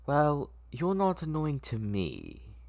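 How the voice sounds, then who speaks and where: A man's voice: on the high side, wildly swooping pitch, slow pace, creaky, a little mellow, dry recording, voice pushed up, heavily acted. Man doing an eerie, coaxing ice cream man, raised voice. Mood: soothing. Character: eerie, kindly male doctor selling ice cream.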